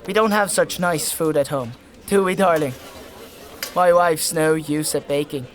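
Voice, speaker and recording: An actor playing an anxious husband in disguise in a dark fantasy audio drama, polite, apologetic and smoothing things over. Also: male voice, on the high side, unhurried, close to an everyday voice. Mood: nervously polite.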